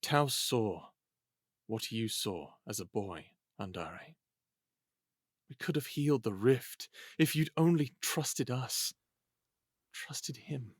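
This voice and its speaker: A man playing a grave, earnest advocate for reconciliation in an audio drama, speaking in a measured way. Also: medium pitch, animated, husky, no room sound, clean studio sound. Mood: regretful.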